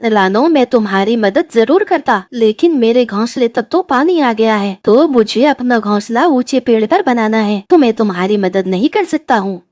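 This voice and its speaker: A woman's voice: a little high, animated, moderate pace, hardly any pauses, a little mellow, no echo, clean studio sound, close to an everyday voice. A woman playing a cautioning, apologetic male crow neighbour in a cartoon story, advising and explaining. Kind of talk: animated moral story dub.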